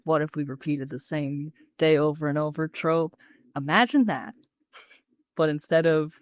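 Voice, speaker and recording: Low-voiced, wry American woman podcaster. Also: dry recording, clean studio sound. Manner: sarcastic, wry mock-persuasion. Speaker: podcast co-host. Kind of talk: casual TV and film recap chat.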